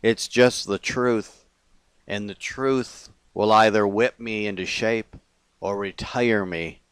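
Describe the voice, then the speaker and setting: A man reading his own confessional poetry as a poet, in deliberate short phrases with pauses between them. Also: fairly low pitch, fairly bright tone, smooth, no room sound.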